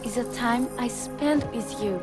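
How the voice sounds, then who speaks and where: A woman playing a tender, sincere young woman in a cinematic video game, speaking softly and earnestly. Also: a little high, unhurried, lightly acted. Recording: music behind the voice.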